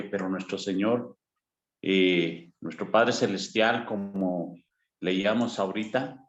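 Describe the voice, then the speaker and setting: Slow low-voiced man, roomy sound. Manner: earnest, measured, some fillers. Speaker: church member on a call. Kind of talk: testimony on an online church call.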